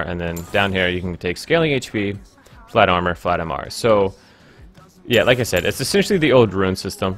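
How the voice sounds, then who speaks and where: A young man with a sore throat and a stuffy nose, chatting casually about game changes with rambling, upbeat energy. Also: lowish voice. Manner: rambling and upbeat, many fillers. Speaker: gaming YouTuber. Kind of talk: casual game commentary over screen capture.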